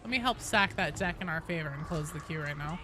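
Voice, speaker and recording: A woman's voice: deep voice, fast. A woman game streamer chatting casually and conversationally over gameplay, with some swearing. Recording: noisy game audio behind the voice.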